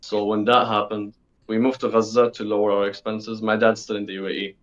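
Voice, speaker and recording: A man's voice: fairly low pitch, level tone, a little fast. Teenage male, from the UAE, casual interview guest, informal, emphatic repetition, muffled band-limited sound. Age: teens, sixteen. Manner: informal, repeats himself for emphasis.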